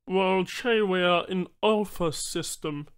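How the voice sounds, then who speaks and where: A man voicing a formal, eager male alien trader who speaks in halting, oddly ordered phrases for an audiobook. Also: high-pitched, clean tone, pitched above the performer's own voice. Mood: businesslike. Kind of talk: audiobook character voice.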